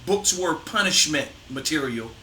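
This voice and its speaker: A middle-aged man speaks in a firm, emphatic way, leaning into his points. His voice is very bright and forward. The room is echoey, with a faint hiss behind him. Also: a little high, expressive, measured pace. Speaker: host, father interviewing his son. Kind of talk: casual conversation.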